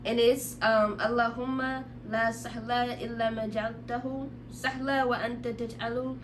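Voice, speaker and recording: A woman's voice: on the high side, flat delivery, moderate pace. Slightly sick woman, distant mic. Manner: laid-back, joking, slangy. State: slightly sick, throat tickle. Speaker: podcast co-host. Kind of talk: casual podcast chat. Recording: distant mic, faint hum.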